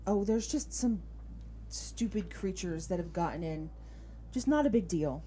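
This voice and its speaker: Woman doing a stuck lab worker in an rpg, annoyed but shrugging it off, mellow, quiet music behind. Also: on the low side, animated, unhurried, rough recording. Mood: dismissive, irritated. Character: trapped lab worker, nonchalant, irritated at stupid creatures. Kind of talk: tabletop roleplay dialogue.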